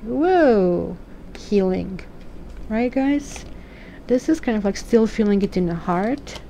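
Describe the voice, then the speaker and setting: A woman's voice: mid-range voice, expressive, takes it slowly, warm tone, a little hiss or hum. A woman from Croatia with a Croatian accent, reading tarot cards in a chatty, musing way and asking questions aloud. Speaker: tarot reader.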